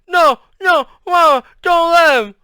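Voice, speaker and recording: A man's voice: very high voice, takes it slowly, sharp tone, dry recording, studio quality, pitched above the performer's own voice, full character voice. A man voicing a panicked, crying boy who mumbles pleas for help as if his mouth were stuck. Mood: frantic protest. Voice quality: muffled, mouth-full mumble. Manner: pleading, repeating cries for help.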